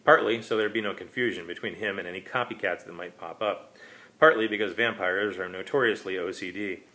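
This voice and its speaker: A man's voice: low voice, a little fast, pushed and bright, low-quality recording. A man, an author, reading his own short story as dramatic scripted narration.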